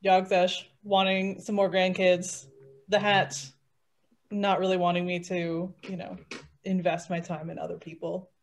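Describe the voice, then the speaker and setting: A woman's voice: lowish voice, flat delivery, a little slow. A woman chatting casually on a video call during a tabletop game, hesitant and thinking aloud as she goes. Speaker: player and guest author. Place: indoors, on a group video call.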